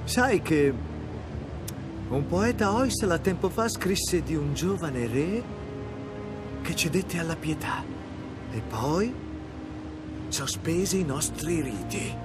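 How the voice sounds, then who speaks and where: A menacing, grandiose warlord over loud music. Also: male voice, a little high, lively intonation, takes it slowly, pauses often. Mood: measured menace. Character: menacing, cultured middle-aged warlord, coldly zealous. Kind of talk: dramatic video game villain monologue. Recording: loud dramatic score over voice.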